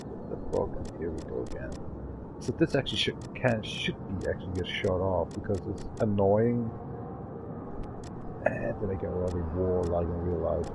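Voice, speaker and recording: A man's voice: low-pitched, creaky, very noisy. A man in his thirties streaming a game, rambling and swearing in a frustrated way. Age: 30s. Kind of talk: casual gaming stream chat.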